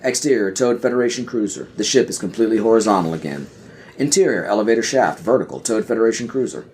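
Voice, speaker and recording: Guy narrating an audio drama script, scene headings and action, lively pitch. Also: fast, a little hiss or hum. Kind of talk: scripted screenplay-style narration.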